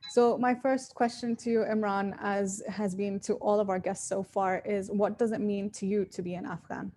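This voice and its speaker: A woman's voice: mid-range voice, level tone, normal speed, warm tone, slight background noise. A woman hosting an interview series, reading her introduction with hesitant fillers and then asking the guest questions over a video call. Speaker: podcast host.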